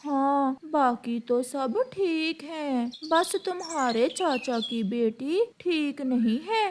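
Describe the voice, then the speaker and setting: A woman's voice: high voice, slow, noisy background. A woman voicing a nagging, gossipy older mother crow in a cartoon story, in a scolding, weary manner. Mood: grave. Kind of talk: Hindi cartoon story dialogue.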